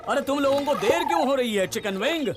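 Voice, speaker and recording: A scheming cartoon captain, barks orders in a high-pitched voice. He is impatient and short-tempered, scolding and commanding his crew. Music plays behind him. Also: animated, fast. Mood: impatient, barking. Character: bossy, scheming, short-tempered villain captain, an adult man. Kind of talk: Hindi-dubbed cartoon villain acting.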